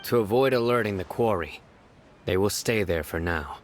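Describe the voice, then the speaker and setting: Man playing a low, grave, reserved commander. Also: lively intonation, a little hiss or hum, natural voice. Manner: grave, measured, formal. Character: serious, reserved young male commander, steady and terse. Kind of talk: anime game voice acting.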